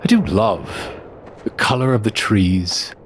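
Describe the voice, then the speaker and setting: Man acting as haughty, low-voiced fantasy adventurer. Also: slow, slight background noise. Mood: preoccupied, wry. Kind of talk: fantasy audio drama acting.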